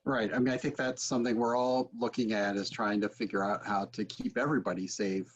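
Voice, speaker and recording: A man's voice: on the low side, steady tone, a little fast, slightly rough. A man in his forties casually asking questions as moderator of an online session, over a video call. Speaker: webinar moderator. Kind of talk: casual interviewing. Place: book-lined home study, video call. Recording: dull, lo-fi video-call audio.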